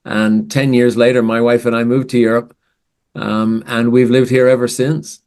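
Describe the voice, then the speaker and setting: A man's voice: medium pitch, normal speed. Canadian-born man in his sixties, easygoing. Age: 60s. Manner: relaxed storytelling with fillers. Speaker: podcast guest, finance strategist. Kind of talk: casual interview answers.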